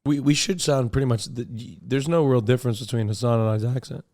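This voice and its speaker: Man, raspy voice, mid pitch, joking, casual stream chat. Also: quick pace, a little mellow.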